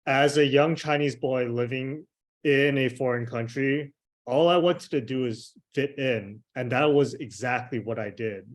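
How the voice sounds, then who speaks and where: A young man from Hong Kong, raised in Miami, giving a measured prepared speech over a video call. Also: mid-range voice. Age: young adult. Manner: slow, measured delivery with pauses. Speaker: club member giving a speech. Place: home room with shelves. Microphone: video call webcam.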